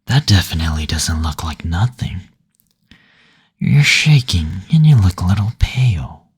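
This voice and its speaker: A man playing a gentle, teasing demon in an intimate roleplay audio, speaking in a breathy, soothing, attentive way. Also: sits low, big pitch swings, heavily acted. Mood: concerned, reassuring. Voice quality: breathy, husky. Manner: slow, soothing, tender, attentive. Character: gentle teasing male demon, brothel receptionist.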